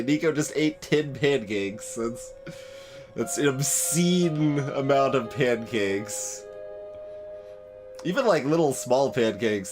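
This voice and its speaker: A man doing casual live game commentary as a streamer, thinking aloud in a halting, wry way. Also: mid-range voice. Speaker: let's play streamer. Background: game music.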